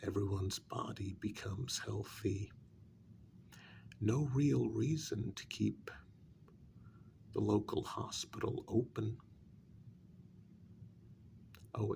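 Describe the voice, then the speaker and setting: A man playing a bitter, sarcastic kidnapper in a soft-spoken ASMR role-play, halting and half-whispered, with a gravelly voice. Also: on the low side, fairly even intonation, background noise, heavily acted. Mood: scornful. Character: bitter, sarcastic male kidnapper confronting a demon.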